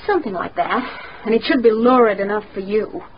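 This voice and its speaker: An actress playing a bold young heiress in a radio mystery, insistent and intense. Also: female voice, medium pitch, brisk, natural voice. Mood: defiant. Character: bold, defiant young heiress caught under suspicion. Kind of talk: dramatic radio acting. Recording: dull, faintly noisy radio recording.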